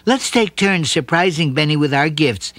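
A man voicing a lively young boy who likes to plan with quick, animated speech. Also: fairly high pitch, dry recording. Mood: eager.

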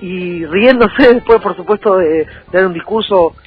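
A young man with an Argentine Rioplatense accent giving a political radio interview, halting and repeating himself as he rephrases. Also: sits high. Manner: halting, repetitive, circling back to rephrase. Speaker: interview guest, local political candidate. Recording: thin phone-like line, music behind.

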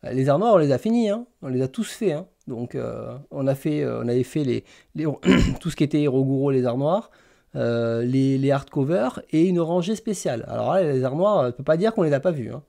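A man's voice: medium pitch, expressive, a little fast, creaky, dark tone. A man hosting a casual live stream, hesitantly thinking aloud as he types. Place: small indoor room lined with bookshelves.

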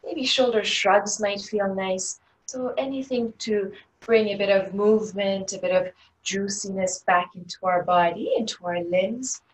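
A woman teaching a guided meditation in a gentle, unhurried, inviting way. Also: mid-range voice. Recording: distant mic with faint background noise.

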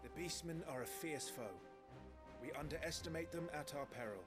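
An actor playing an earnest young noble lord who leads knights, speaking formally and solemnly in a fantasy video game. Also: male voice, fairly high pitch, brisk, over loud music, close to an everyday voice. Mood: solemn, resolute. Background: loud game score.

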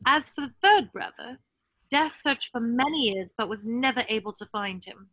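Woman reading as bookish young witch, high bright voice, earnest storytelling, dry call audio. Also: lively intonation, moderate pace. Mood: solemn storytelling. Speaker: table read actor. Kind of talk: scripted table read, storytelling. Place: indoors on a video call.

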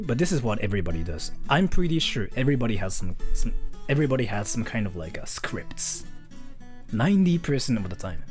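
A young man chatting casually on a livestream in a small bedroom, swinging from loud to muttering. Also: medium pitch. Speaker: streamer.

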